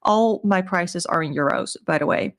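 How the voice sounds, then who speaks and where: A woman with a croaky voice giving a casual, chatty recap as a vlog host, informal and a little hesitant as she thinks aloud. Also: on the low side, expressive, moderate pace. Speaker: crochet seller and video host.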